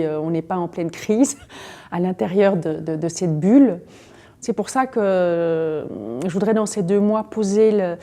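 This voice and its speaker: A woman's voice: medium pitch, dark tone. A woman from Belgium, a poet speaking reflectively in an interview and searching for words with fillers.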